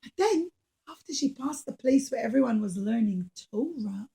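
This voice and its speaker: High-pitched woman telling stories to children. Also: normal speed, slight background noise. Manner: simple, expressive, encouraging teacher talk. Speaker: children's storyteller and puppeteer. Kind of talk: animated storytelling to children.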